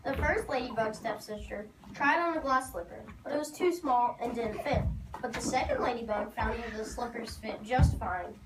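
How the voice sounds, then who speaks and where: High-voiced woman narrating in an echoey hall. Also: moderate pace. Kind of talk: scripted storybook narration for readers theater. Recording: noisy, echoey hall from far off.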